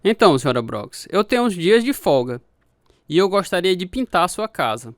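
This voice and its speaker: Casting: earnest young Brazilian sailor husband, reassuring, very animated intonation, dry radio drama studio sound. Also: male voice, high voice, normal speed, no room sound. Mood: polite, helpful. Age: young adult. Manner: reassuring, expressive.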